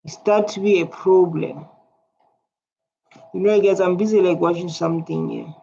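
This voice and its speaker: A man's voice: high-pitched, steady tone, moderate pace, creaky, dark tone. A South African man with a Tswana accent talks casually as he reacts to clips, blunt and repetitive, and English is not his first language. The sound is dull and lo-fi, with a faint hiss under his voice. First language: Setswana.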